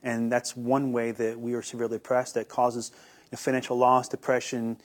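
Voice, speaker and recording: A man's voice: mid-range voice, monotone, moderate pace. A young man giving earnest, hesitant interview answers as a guest, often repeating words as he starts. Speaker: guest, YouTuber.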